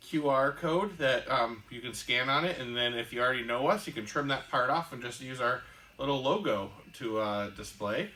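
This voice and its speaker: A woman's voice: very deep voice, expressive, normal speed, bright, forceful tone, a little hiss or hum. A woman co-hosting a casual chat show, rambling with lots of fillers and easy enthusiasm. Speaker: podcast co-host. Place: shop room indoors.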